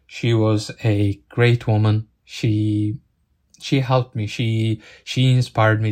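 A young man speaking English as a second language, taking his time and often stopping to hunt for the right word. His low voice stays level and even, with little rise or fall. He sounds earnest and grateful throughout. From outside the UK. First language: not English. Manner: halting, searching for words, with restarts. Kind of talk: personal thank-you monologue.

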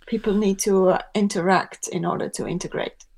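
Woman from estonia, political analyst, gravelly voice, firm and earnest. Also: mid-range voice, fairly even intonation, brisk, darkish tone. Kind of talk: political discussion.

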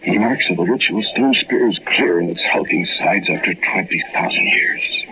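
An actor playing a frightened, awestruck man trapped in a cave in 1940s radio horror, dramatic and halting in delivery. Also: low-pitched, wildly swooping pitch, pushed and bright, breathy edge, full character voice. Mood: grave narration. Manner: dramatic, halting, stammering when alarmed. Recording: muffled, noisy 1940s radio recording.

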